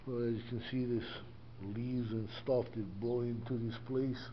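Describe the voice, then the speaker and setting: A man's voice: mid-range voice, level tone, moderate pace. An older man from Hawaii, rambling with many fillers as he shows the church he cleans. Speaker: church custodian vlogger. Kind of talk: casual walkthrough commentary. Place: inside a small church building. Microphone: body-worn action camera. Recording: dull lo-fi camera audio with faint noise.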